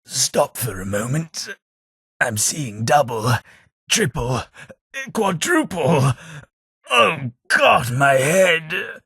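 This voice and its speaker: An actor playing a refined, dry-witted male ghoul companion with an upper-class Southern English accent and a husky voice, recorded close in a studio for a game. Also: a little high, very animated, very slow, long pauses, a bit bright, dry recording, exaggerated voice. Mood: dazed. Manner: dry, wry, refined delivery. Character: posh, witty male ghoul gentleman companion. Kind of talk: video game voice lines. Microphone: close studio mic.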